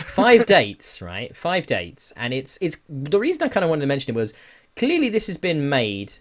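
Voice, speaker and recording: A man's voice: on the high side, huge pitch swings, moderate pace. A man hosting a podcast, chatting casually about games and news in a rambling, self-deprecating way. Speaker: podcast host.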